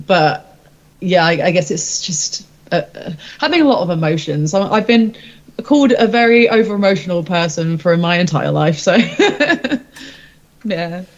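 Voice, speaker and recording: A woman's voice: on the low side, expressive, a little slow. Woman chatting, slightly dull audio. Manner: informal, rambling, self-deprecating. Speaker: rock singer-songwriter being interviewed. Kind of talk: casual interview chat.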